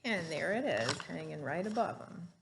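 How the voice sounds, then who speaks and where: A woman's voice: sits low, animated, normal speed, vocal fry, noisy background. A woman reading a story aloud to children like a teacher, adding explanations and questions for the listener. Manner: didactic, asks the listener questions.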